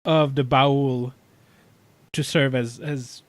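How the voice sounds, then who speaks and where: Halting man with a close, dry sound. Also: medium pitch, steady tone, dry recording. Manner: halting, thinking aloud. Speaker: podcast panelist. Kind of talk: casual chat reviewing sci-fi television.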